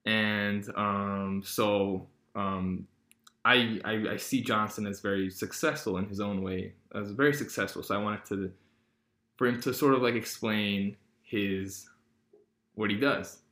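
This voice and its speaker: A man's voice: on the low side, steady tone, a little slow, a bit bright, echoey room. A teenage boy hosting a casual podcast, talking in a rambling way with lots of fillers and restarts. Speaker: podcast host.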